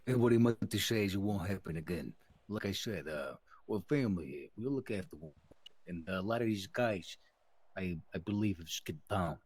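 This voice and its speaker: A man's voice: deep voice, expressive, normal speed, a little mellow, breathy edge, no echo. A man playing a deferential, reassuring mafia underling in an online game roleplay, choosing his words carefully and hesitantly. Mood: conciliatory.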